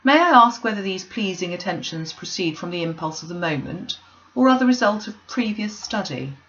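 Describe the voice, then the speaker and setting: A sardonic older gentleman, read by a woman, speaks with dry, mock-polite irony. The home recording sounds muffled and lo-fi. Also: medium pitch, lively intonation, moderate pace, natural voice. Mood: wry.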